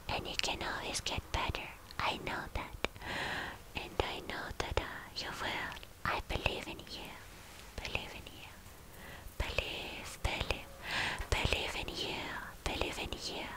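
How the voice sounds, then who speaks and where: A woman doing an ASMR roleplay as a tender, protective anime demon girlfriend, in a breathy whisper, repeating words soothingly. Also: close to an everyday voice, rough recording. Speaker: ASMR performer.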